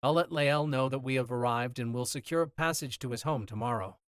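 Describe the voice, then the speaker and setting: A man voicing a reserved, protective knight who speaks in a low, measured way. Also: clean tone, dry recording, clean studio sound, lightly acted. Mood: grave.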